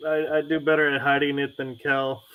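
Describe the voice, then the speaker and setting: A man from Chicago, co-hosting a podcast, who asks his questions in a slow, hesitant way. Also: medium pitch, flat delivery, smooth, narrow, tinny audio. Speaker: podcast co-host. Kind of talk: casual podcast conversation.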